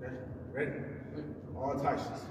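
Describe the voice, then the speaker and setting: A man's voice: mid-range voice, little pitch movement, normal speed, recorded from a distance, heavy background noise. Laid-back male coach in echoey gym. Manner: explains drills step by step, laid-back. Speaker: fitness coach. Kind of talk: casual workout instruction. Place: large indoor gym. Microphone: far camera.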